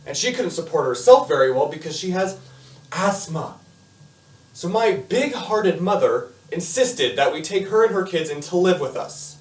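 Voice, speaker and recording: A man performing a stage monologue as a talkative, confiding teenage boy, with animated delivery and emphatic asides. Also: on the high side, pitched above the performer's own voice, lightly acted. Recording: echoey room, far from mic.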